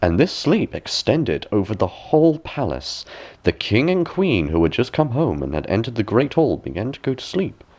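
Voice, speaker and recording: A man narrating a bedtime fairy tale as a storyteller, with expressive, measured and deliberate phrasing. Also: medium pitch. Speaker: storyteller, audiobook reader.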